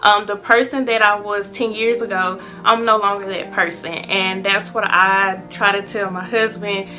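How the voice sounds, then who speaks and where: A woman's voice: medium pitch, level tone, normal speed, bright, forceful tone, faint music behind, low-quality recording. A woman in her late twenties sharing personal advice in a chatty, earnest vlog, with many ums. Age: 20s. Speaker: vlogger.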